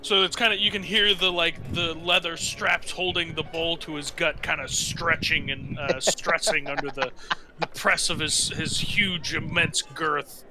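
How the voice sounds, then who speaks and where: High-voiced man playing a tabletop game. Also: steady tone, crowd noise, rough recording. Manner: halting, thinking aloud. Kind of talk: casual improvised role-play chat. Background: background music.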